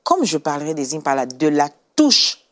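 A woman's voice: deep voice, very animated, moderate pace. A middle-aged woman from Congo giving personal testimony as an interview guest, emphatic and repeating phrases for effect. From Kinshasa, Congo. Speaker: guest, clothing brand founder.

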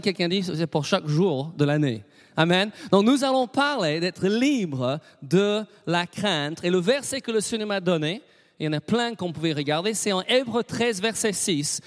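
A man's voice: high-pitched, a bit bright, big-room sound. A middle-aged British man, a native English speaker with an English accent, preaching a sermon and leading the congregation in call-and-response with frequent amens. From Britain. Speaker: pastor.

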